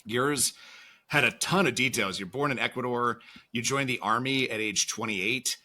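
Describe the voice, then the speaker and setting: Bright-voiced joking male podcast host. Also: medium pitch. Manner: jokey, profane, fast asides. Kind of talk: casual podcast chat.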